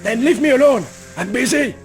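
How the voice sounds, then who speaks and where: A man's voice: extremely high pitch, lively intonation, a little fast, over music. A man playing a surly, suspicious old man in a video game, irritable, dismissive and grudging. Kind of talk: video game cutscene voice acting.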